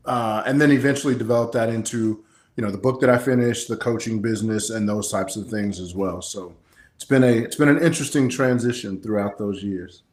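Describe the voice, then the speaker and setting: A man's voice: fairly low pitch, brisk. An American man, a coach being interviewed, explaining himself conversationally and in a straightforward way. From Kansas City, Missouri, United States. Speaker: guest, personal development coach. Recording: roomy, echoing sound.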